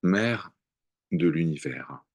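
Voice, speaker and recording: A man reciting devotional poetry in a measured, contemplative way, with long pauses between short phrases. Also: low voice, expressive. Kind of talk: slow devotional poem recitation.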